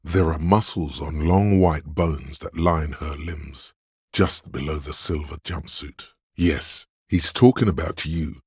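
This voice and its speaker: An actor voicing a seductive, hedonistic inner voice of addiction and lust in a video game, savoring words in a husky, insinuating way. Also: male voice, slow pace, darkish tone, no room sound, studio quality, full character voice. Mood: sly, knowing. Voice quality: deep, gravelly, husky. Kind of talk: video game character narration.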